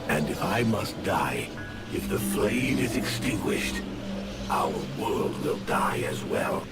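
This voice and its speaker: An actor playing an imperious, bitter ancient flame spirit in a fantasy game, speaking in grandiose archaic language. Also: male voice, low voice, sing-song, slow pace, heavily acted. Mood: anguished, commanding. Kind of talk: theatrical fantasy game acting. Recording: echoey, distant, under very loud music.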